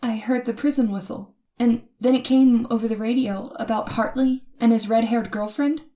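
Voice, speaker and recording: A woman's voice: a little high, steady tone, moderate pace, mellow, natural voice. A woman playing a nervous, frightened lone traveler in an old-time radio suspense drama, with a shaky, anxious and hesitant manner. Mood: flustered. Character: nervous red-haired woman driving alone at night, frightened. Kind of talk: old-time radio suspense acting, first-person narration.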